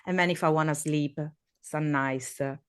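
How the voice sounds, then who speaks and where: Low-voiced woman hosting a chat. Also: steady tone, moderate pace, clean tone. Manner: chatty, eager, trails off and restarts questions. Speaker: podcast host. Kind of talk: casual interview chat.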